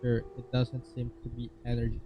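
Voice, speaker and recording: A man's voice: mid-range voice, monotone, moderate pace. Man chatting over a game. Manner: offhand, joking asides. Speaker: streamer. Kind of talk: casual gameplay commentary. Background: game music.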